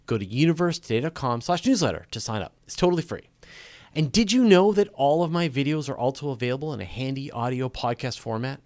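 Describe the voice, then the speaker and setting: A man's voice: medium pitch, lively intonation, fast, darkish tone, no echo. A man hosting a casual live question-and-answer show, talking in an informal, joking, conversational way. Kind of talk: casual live Q&A chat with viewers.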